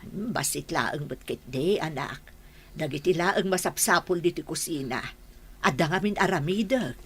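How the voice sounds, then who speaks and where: A woman playing a tired, loving, hardworking poor mother, speaking softly in an audio drama. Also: low-pitched, moderate pace, slightly rough. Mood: gentle, tired. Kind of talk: Ilocano radio-style drama.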